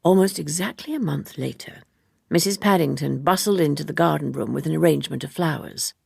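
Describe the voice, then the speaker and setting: A woman narrates a literary audiobook with measured precision. Her voice is low-set and heavily creaky, with a husky grain, recorded very dry. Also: a little mellow, no room sound.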